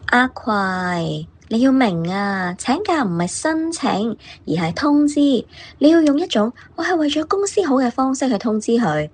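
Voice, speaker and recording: Woman playing a lively, knowing senior office lady. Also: a little high, a little slow. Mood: teasing, coaching. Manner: knowing, coaxing, theatrically emphatic. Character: savvy older female colleague, slyly coaching a junior. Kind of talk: Cantonese workplace radio drama acting.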